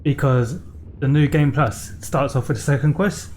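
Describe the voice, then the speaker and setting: A man's voice: medium pitch, moderate pace, a little mellow, a little hiss or hum. A man giving casual let's play commentary, explaining what he is doing as he plays. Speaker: game streamer.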